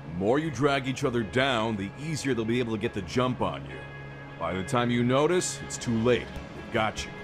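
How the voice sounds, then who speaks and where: Guy doing a cocky game troublemaker, slangy and teasing, pitch jumps all over, music behind. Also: natural voice. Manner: slangy, swaggering, expressive. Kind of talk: video game English dub acting.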